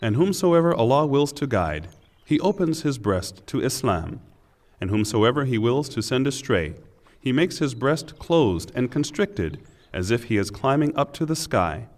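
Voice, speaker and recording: Expressive man reading scripture formally. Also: medium pitch. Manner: measured, formal, solemn. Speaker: narrator reading Quran translation.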